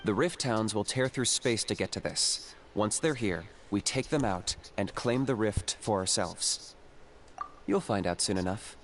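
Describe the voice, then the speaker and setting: A terse, low young hunter. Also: male voice, unhurried, slight background noise. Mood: businesslike. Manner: terse, matter-of-fact.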